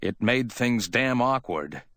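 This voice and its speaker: A man's voice: mid-range voice, lively intonation, slow, fairly bright tone, dry recording, pitched above the performer's own voice. Man playing a cool, clipped hardboiled tough guy. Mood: brisk, offhand. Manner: clipped, offhand, lightly amiable. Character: cool, wry hardboiled man, amiable but dismissive. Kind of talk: dramatic audiobook character reading.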